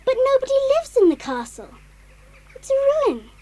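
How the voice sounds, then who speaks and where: An assertive, curious schoolchild in a children's audio drama. The voice is extremely high and swoops dramatically, with insistent phrasing. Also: female voice, wildly swooping pitch, moderate pace, dark tone, full character voice, low-quality recording. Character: inquisitive, assertive schoolchild adventurer.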